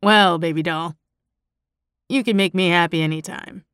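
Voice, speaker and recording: A woman's voice: fairly low pitch, moderate pace, a bit bright, clear, resonant tone, no room sound, clean studio sound, close to an everyday voice. A woman voicing a domineering club owner in his late thirties, a stocky man with a leering, bossy manner, in an audiobook. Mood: smug, leering. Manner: leering, bossy, calls women doll.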